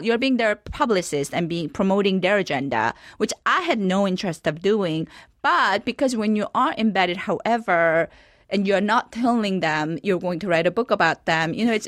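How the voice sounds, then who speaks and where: A woman's voice: medium pitch, no room sound. A reflective woman from South Korea speaks earnestly, often restarting her sentences as she gives interview answers. Her medium voice is picked up dry and close on a studio microphone, with no room sound. She sounds middle-aged. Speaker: author and journalist guest.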